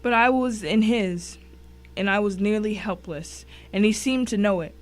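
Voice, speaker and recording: A woman reads a suspense story in the first person. Her medium-pitched voice carries a dramatic narrating style. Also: normal speed.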